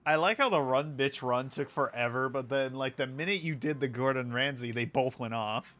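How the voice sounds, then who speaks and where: A man giving casual, joking live commentary as a game streamer, halting and peppering his talk with "like". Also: medium pitch, a bit bright. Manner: joking, halting, says "like" a lot.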